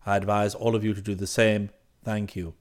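A man voicing an unflappable, deferential English gentleman's valet in an audiobook, with upper-class British English and formal, measured, discreet phrasing. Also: on the low side, flat delivery, pitched below the performer's own voice, lightly acted. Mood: calm, deferential. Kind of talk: audiobook character voice.

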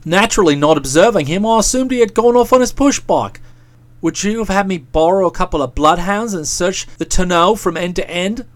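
Woman putting on a pompous young gentleman author. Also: expressive, a little fast, no room sound, natural voice. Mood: self-justifying. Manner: low, pompous, drawling. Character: bluff young male author, airily self-justifying.

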